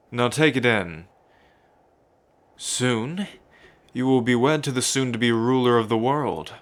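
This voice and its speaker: Man playing a slow, gloating tyrant prince. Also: medium pitch, close to an everyday voice. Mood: menacing, smug. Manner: pompous, gloating, with frequent smug chuckles. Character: arrogant, sadistic male would-be emperor forcing a marriage. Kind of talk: ASMR roleplay villain monologue.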